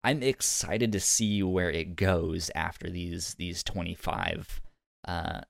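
A man's voice: deep voice, slow pace, vocal fry, no echo, studio quality. A man hosting a review podcast in casual conversation, stammering and often repeating sounds and words as he goes. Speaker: podcast host.

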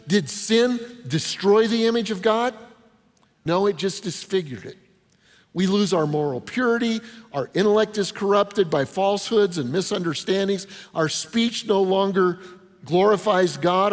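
High-voiced male preacher in a reverberant hall. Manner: rhetorical questions, punchy short phrases, informal asides. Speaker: pastor preaching a sermon. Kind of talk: conversational sermon to a congregation. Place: large indoor hall before an audience. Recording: reverberant hall with long echo.

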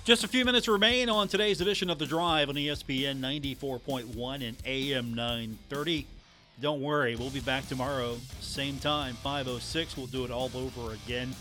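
A man's voice: mid-range voice, brisk, bright, forceful tone, faint music behind. A man hosting sports radio, talking casually and emphatically to listeners on a close studio mic. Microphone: close studio microphone.

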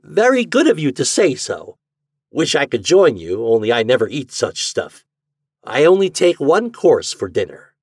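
A man voicing an eager, twitchy, unhinged man in an audiobook story, speaking with urgent, conspiratorial excitement. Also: medium pitch, normal speed, breathy edge, no echo, studio quality, pitched above the performer's own voice. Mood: strained politeness.

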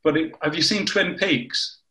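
A man's voice: on the high side, measured pace, bright, forceful tone, echoey room. Older northern English man telling anecdotes. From north of England. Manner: anecdotal, self-correcting with frequent restarts. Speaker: interviewee, former scientist. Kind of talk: conversational interview.

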